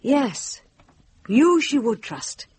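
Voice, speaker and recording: High, expressive, wary young woman. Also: extremely slow, slight background noise, heavily acted. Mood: wary, moved. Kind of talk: British radio drama acting.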